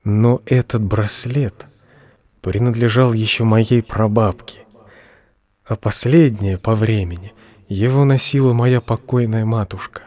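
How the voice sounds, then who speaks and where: A slow, humbly devoted admirer. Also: male voice, on the low side, dark tone, no room sound. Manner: reverent, deliberate, formal. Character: humble, hopelessly devoted male admirer, a poor clerk. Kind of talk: audio drama letter monologue.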